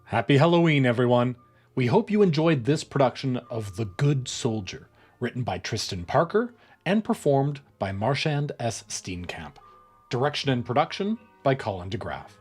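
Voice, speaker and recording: A man's voice: mid-range voice, sing-song, normal speed, breathy edge, soft background music. A man hosting an audiobook channel, reading scripted credits and thanks to listeners. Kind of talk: scripted outro announcement.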